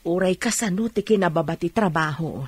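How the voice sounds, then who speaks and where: A woman acting a kind, patient mother who gently counsels, in Ilocano radio drama style with a Filipino accent. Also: fairly low pitch, a little fast, breathy edge, dry recording. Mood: patient, counselling.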